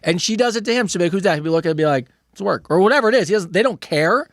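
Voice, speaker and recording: A man's voice: high voice, lively intonation, very fast, dry recording, studio quality. A middle-aged man co-hosting a casual comedy podcast, telling loose rambling stories and reading out listener questions, with plenty of restarts and swearing.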